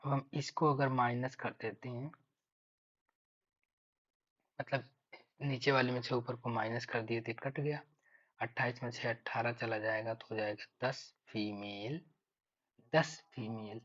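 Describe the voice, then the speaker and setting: A man's voice: medium pitch, fairly even intonation, measured pace, pauses often, fairly bright tone. A man teaching maths step by step for exam preparation, thinking aloud and repeating key figures. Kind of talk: step-by-step lesson explanation. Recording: dull lo-fi microphone sound.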